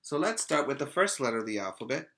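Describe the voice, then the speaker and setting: A man teaching preschoolers by reading aloud in a deliberate, emphatic way, pausing to put questions to his young listeners. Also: medium pitch, big pitch swings, fairly bright tone. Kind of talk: read-aloud lesson for young children.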